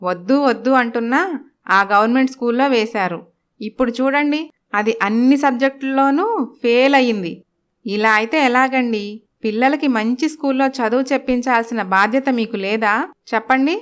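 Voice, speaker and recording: A high, clean-voiced stepmother from an animated moral tale, acted by a woman. She is harsh and emphatic, quick to nag, and dotes on her own daughter. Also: clean tone, natural voice. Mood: indignant, complaining. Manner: nagging, emphatic. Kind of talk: Telugu animated moral story acting.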